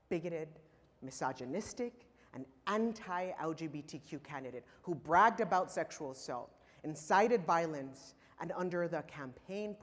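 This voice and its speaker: A man's voice: fairly high pitch, a bit bright. Impassioned man speaking in a reverberant hall. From Los Angeles, California. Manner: impassioned, emphatic, with pointed pauses. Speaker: keynote speaker. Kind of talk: prepared speech to a conference audience. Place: large conference hall.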